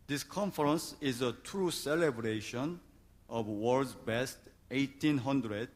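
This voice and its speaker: A man delivering a formal opening address as a conference organizer, speaking deliberately phrase by phrase. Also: mid-range voice. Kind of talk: formal ceremonial speech.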